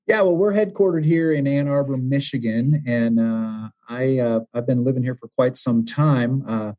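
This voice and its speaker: A man's voice: mid-range voice, moderate pace, lo-fi sound. A middle-aged man from the western United States, a business executive answering interview questions in a friendly, explanatory way with frequent fillers. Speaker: franchise executive guest.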